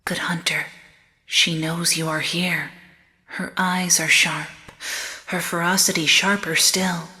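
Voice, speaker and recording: Living doll guide, female actor: gothic, soft-spoken yet crisp-edged, measured and calm, very unhurried, reverberant. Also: fairly low pitch, heavily acted. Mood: calm warning. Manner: soft, measured, solemn, uneasy undertone. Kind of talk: gothic audio drama acting. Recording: long ghostly reverb.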